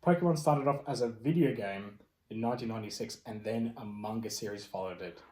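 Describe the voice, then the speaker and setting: A man's voice: fairly low pitch, brisk, a little mellow. A man co-hosting a podcast in casual conversation. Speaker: podcast co-host. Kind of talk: casual chat. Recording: echoey room sound.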